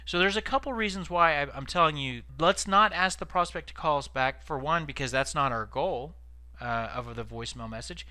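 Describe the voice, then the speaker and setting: A man's voice: on the high side, brisk, sharp tone, dry recording. A man giving informal instructional tips as a sales trainer, explaining conversationally and stressing key words.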